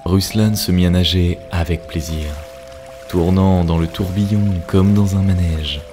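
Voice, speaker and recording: A male narrator with a deep voice delivers scripted narration. Music plays clearly behind him as he reads. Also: fairly even intonation, a little slow.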